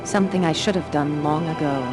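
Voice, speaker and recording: A woman's voice: low voice, brisk, natural voice. A woman playing a resolute, defiant sorceress heroine in a game cutscene, speaking in a terse, commanding way. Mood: grim resolve. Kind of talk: dramatic video game cutscene acting. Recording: very loud music under the voice. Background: loud cinematic game music.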